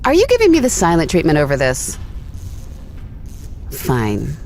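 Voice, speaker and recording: A woman's voice: sits low, huge pitch swings, a little slow, a little mellow, slight background noise, full character voice. A woman playing a wry, restless young space explorer in a sci-fi audio drama, casual and teasing. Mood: wry, brisk.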